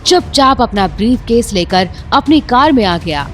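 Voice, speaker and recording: A woman narrating a serialized audio drama with dramatic storytelling. Her voice sits on the high side, and faint music plays under the narration. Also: sing-song, moderate pace.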